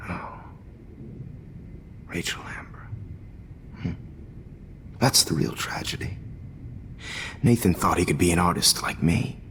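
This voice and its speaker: A man's voice: low voice, lively intonation, takes it slowly, pauses often, fairly bright tone, slight background noise, full character voice. A man voicing a smug, manipulative photography teacher in a video game, taunting and often whispering. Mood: menacing. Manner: taunting, mocking, drops into whispers.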